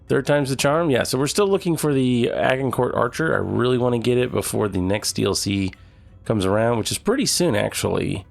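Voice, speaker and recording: A man's voice: medium pitch, fairly quick, vocal fry, faint music behind. A man with a cold, sounding nasal, casually commenting on his gameplay. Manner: relaxed, thinking aloud. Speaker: gaming channel host.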